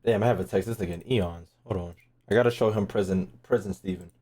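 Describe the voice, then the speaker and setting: A woman's voice: very deep voice, quick pace, mellow, breathy edge. A woman giving casual, exclamatory gaming reaction commentary full of slang and swearing. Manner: exclamatory, profane, informal. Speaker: gaming content creator reacting to story readings.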